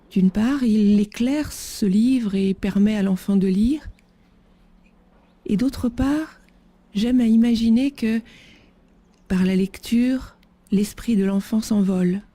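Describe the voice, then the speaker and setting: Slow, very mellow, breathy woman. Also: mid-range voice, no echo. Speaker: narrator describing a photograph. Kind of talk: reflective descriptive narration.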